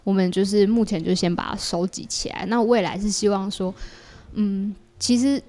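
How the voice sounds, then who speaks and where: A woman with a Taiwanese accent talks as a guest in a casual interview. Her voice sits at an average pitch. She explains her creative work conversationally, hedging and adding small asides as she goes. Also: steady tone, normal speed, mellow. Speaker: podcast guest, audio drama creator. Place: small partitioned dessert cafe in an alley.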